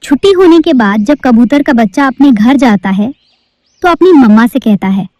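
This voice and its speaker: A woman's voice: on the high side, animated, normal speed, a little mellow, dry recording. A woman narrating a cartoon story in a storybook-telling manner.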